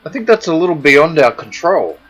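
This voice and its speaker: A blunt male stream host with a bright, forceful tone who swears constantly. He grumbles and pushes back on his co-host's teasing in loose, casual chat. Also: a little high, very animated, a little fast, highs cut off.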